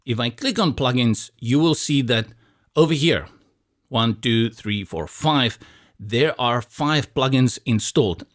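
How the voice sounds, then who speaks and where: A man's voice: mid-range voice, a little slow. South african guy, afrikaans first language, chill screen tutorial voice-over, easygoing step by step. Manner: relaxed, step-by-step explaining with light humor. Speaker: tutorial instructor. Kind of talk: screen-recorded software tutorial.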